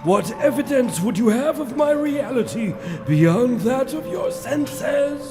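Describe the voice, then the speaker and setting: A man acting a tormented, stern ghost of a dead businessman in a live radio drama, with theatrical, drawn-out, wailing delivery. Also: very high voice, lively intonation, over music, exaggerated voice. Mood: eerie, challenging.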